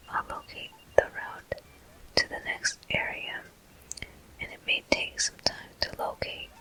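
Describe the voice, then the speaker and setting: Asmr gamer whispering real slow n soft, breathy and soothing, talking thru gameplay. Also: very high voice, poor audio. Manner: gentle, unhurried, soothing. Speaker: gaming host. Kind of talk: whispered ASMR game commentary.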